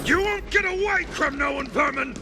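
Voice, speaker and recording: Sneering, high-pitched arrogant warrior. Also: male voice, normal speed, gravelly, music in the background. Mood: menacing taunting. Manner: taunting, boastful, sneering. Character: arrogant, bloodthirsty enemy warrior, gleefully contemptuous. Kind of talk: theatrical game voice acting.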